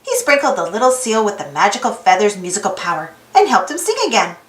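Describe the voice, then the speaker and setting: A woman reading a storybook aloud to children in an expressive narrating style, inviting young listeners in. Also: mid-range voice, quick pace, roomy sound.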